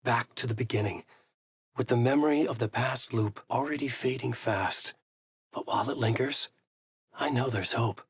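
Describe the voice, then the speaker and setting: An actor playing a haunted, desperate horror writer, narrating in a brooding, intense noir style for a video game. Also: male voice, medium pitch, normal speed, breathy edge, no room sound, studio quality. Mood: hushed, intense. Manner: brooding, intense, terse delivery. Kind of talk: dramatic first-person noir narration.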